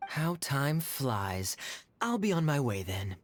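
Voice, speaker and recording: Suave, animated young cavalry captain. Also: male voice, mid-range voice, slow, a little hiss or hum. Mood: smooth, approving. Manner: smooth, wry, theatrically courteous. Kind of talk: video game character voice acting.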